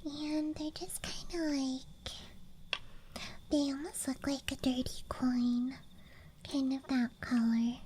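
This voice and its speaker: A young woman doing ASMR in a breathy whisper, musing in a hushed, childlike way, close to the microphone. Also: sits high, a little hiss or hum. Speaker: ASMR streamer playing a dice goblin persona. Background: night-time campground ambience.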